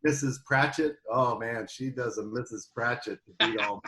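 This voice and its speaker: A man's voice: medium pitch, moderate pace, bright, forceful tone, slight background noise. Man guest in an echoey room. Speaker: podcast guest. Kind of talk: casual chat. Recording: roomy, echoey sound.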